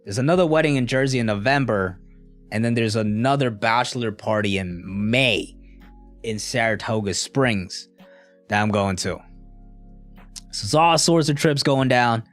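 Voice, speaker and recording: A man's voice: mid-range voice, normal speed, a bit bright, soft background music. A young man casually chatting with a live stream audience in a laid-back, slangy, rambling way. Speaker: streamer answering live chat.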